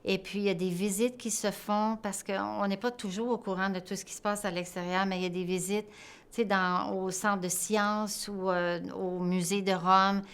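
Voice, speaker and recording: A woman's voice: medium pitch, little pitch movement, moderate pace, smooth. A woman, an educator explaining things in an interview.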